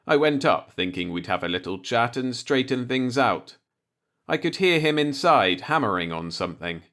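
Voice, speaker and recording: A man reading a short story aloud as an audiobook narrator, in a measured storytelling manner. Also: mid-range voice, expressive, fairly bright tone. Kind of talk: scripted narration, read-along short story.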